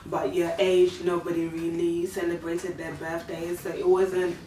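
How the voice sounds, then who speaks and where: Guest: Ugandan-born woman in her 30s, soft, hesitant, low voice, roomy distant recording. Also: level tone, a little slow. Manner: soft-spoken, hesitant, many fillers. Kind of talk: casual storytelling. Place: living room, sitting on a sofa. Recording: echoey room, far from mic, faint hiss.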